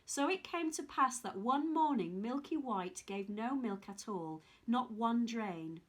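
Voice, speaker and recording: A woman reading a children's story aloud in an expressive storytelling style. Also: a little high, a little slow.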